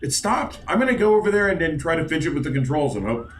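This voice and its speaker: A man playing a character in a tabletop game, chatting and reacting with lively swings in his voice. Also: a little high, quick pace. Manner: lively, jumps into excited yelps. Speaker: player.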